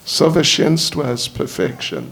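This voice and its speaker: A man with an English accent, a native English speaker, gives a lecture in slow, halting Russian, often searching for words. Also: a little high, huge pitch swings, vocal fry, fairly bright tone. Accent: English-speaking, speaking Russian as a learner. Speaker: visiting spiritual teacher giving a lecture. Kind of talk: slow lecture with verse chanting. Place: lecture hall.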